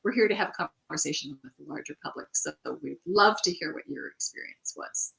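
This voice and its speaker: A middle-aged woman co-hosting a casual chat about writing, animated and quick to laugh, often restarting her thoughts. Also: low voice, creaky, rough recording. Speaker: podcast co-host.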